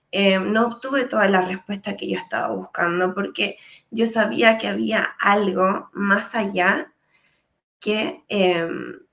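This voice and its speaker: Chilean woman with a gravelly voice. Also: medium pitch, a bit bright. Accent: Chilean Spanish. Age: late 30s. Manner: measured, reflective, with frequent hesitations. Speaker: speaker sharing her story. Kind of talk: personal testimony talk.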